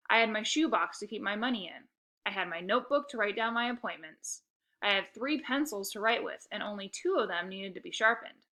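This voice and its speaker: A woman narrating a children's story aloud with expressive, scripted delivery. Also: mid-range voice.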